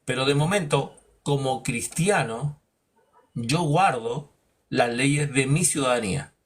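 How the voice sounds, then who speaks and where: A man's voice: takes it slowly, husky. Emphatic male Bible teacher. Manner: rhetorical, acts out imagined dialogues; emphatic, drops low on verdicts. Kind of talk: preaching-style Bible lesson.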